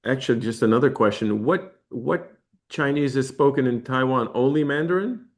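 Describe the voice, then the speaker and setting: Male podcast host, mid pitch, loose rambling questions, self-interrupting. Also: moderate pace. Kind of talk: interview conversation.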